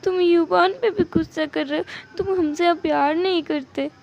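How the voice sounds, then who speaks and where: A woman's voice: very high-pitched, lively intonation, normal speed, highs cut off. A woman voicing a pouty, dramatic pregnant husband in a told story, whining and repeating accusations. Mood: tearful. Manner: whiny, repetitive accusing. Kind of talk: amateur storytelling.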